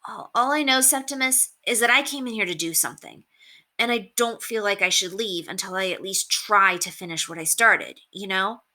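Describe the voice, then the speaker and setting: A woman voicing an earnest, hesitant boy in a storybook, with halting, casual phrasing. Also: mid-range voice, bright, forceful tone, lightly acted. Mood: earnest, conflicted.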